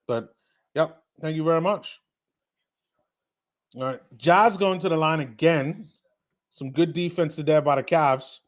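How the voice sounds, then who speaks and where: A man with a British accent blended with North American, hosting a casual live watch-party stream, chatting with viewers and getting excited on big plays. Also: on the high side, measured pace, pauses often. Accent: British mixed with North American. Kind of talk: live casual game commentary and chat.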